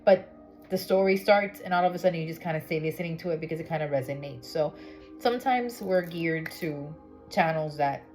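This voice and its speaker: A woman's voice: low-pitched, fairly quick, a little mellow. A woman from Miami doing a tarot reading in a chatty way, checking in with "okay?" often. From Miami, United States. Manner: chatty, with many fillers and "okay?" check-ins. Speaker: tarot reader. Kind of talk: casual spiritual reading vlog. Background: background music.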